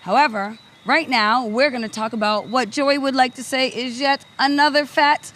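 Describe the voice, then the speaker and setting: A woman's voice: high-pitched, steady tone, moderate pace. A woman hosting and interviewing on location with an upbeat, chatty manner. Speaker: host. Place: outdoor party boat. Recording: loud party music and crowd noise. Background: loud music and crowd.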